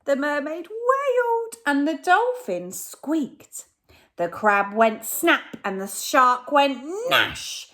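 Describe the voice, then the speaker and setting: Animated woman teacher reading a story. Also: high-pitched, slow. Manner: animated storytelling, dropping to whispers. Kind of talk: reading a rhyming picture book aloud to children.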